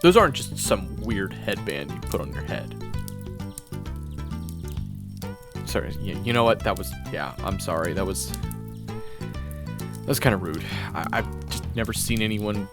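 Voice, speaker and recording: A man's voice: low voice, very animated, normal speed, creaky, full character voice. A man voicing a married swordsman from northwest Europe, flustered and wary, stammering through nervous restarts, with music playing behind. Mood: awkward. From Orleans, northwest Europe. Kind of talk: audio roleplay acting.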